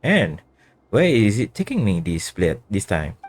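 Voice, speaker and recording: A man's voice: fairly low pitch, wildly swooping pitch, unhurried. A man musing aloud in casual commentary as he streams a game. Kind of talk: casual reaction commentary over gameplay. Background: game music.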